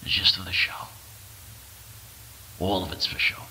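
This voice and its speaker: An actor playing a weary, sorrowful video game hero in a subdued, resigned manner, on a hissy track. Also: male voice, a little slow, sharp tone, rough recording. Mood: resigned, melancholy.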